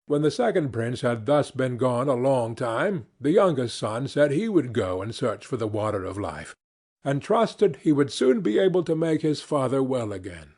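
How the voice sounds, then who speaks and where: A man narrating a fairy tale as an audiobook reader, in an expressive and measured storytelling manner. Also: mid-range voice, husky.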